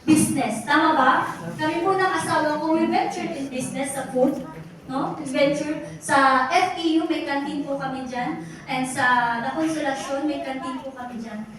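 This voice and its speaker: A woman's voice: high voice, normal speed, fairly bright tone. A Filipino woman in her forties giving a testimonial speech at a company event, mixing English and Tagalog and asking the audience rhetorical questions. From Philippines. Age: 40s. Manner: addresses the audience directly again and again. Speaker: motivational speaker at a company event. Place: large echoey event hall. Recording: echoey hall, voice from far off, background noise.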